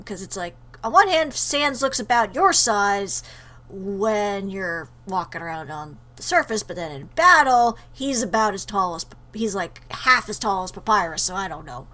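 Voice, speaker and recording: A woman's voice: medium pitch, a bit bright. A woman giving casual, chatty game commentary, playfully talking back to the game. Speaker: let's player. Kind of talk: casual game commentary mixed with reading dialogue aloud.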